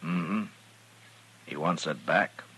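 Very deep, commanding western marshal. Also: male voice, slow pace, sharp tone, breathy edge, exaggerated voice. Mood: dry, probing. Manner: laconic, dry. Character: steady, wry, authoritative male frontier marshal. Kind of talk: old-time radio western acting. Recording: vintage radio drama recording.

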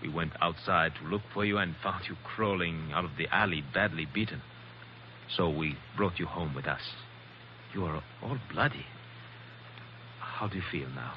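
Supporting role , male actor: very low, formally polite, deferential foreigner, dull and faintly noisy old radio sound. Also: normal speed, lightly acted. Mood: solicitous. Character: courteous, deferential foreign man, hostess's brother. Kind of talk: 1940s radio adventure acting.

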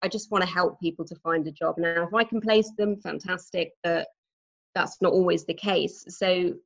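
An English woman with a Norwich accent, in her forties, talks through her work in an easy, chatty way, filling pauses with ums. Her voice sits a little low. The audio is dull and lo-fi, like a remote call. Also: fairly even intonation, gravelly. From England. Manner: warm chatty explaining, frequent ums. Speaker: recruitment manager, guest. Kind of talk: conversational podcast interview.

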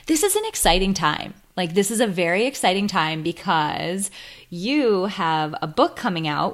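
A woman hosts a podcast with eager, emphatic warmth in her phrasing. Her voice sits a little low for a woman. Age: adult parent. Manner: enthusiastic, emphatic. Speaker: podcast host. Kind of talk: podcast intro and interview.

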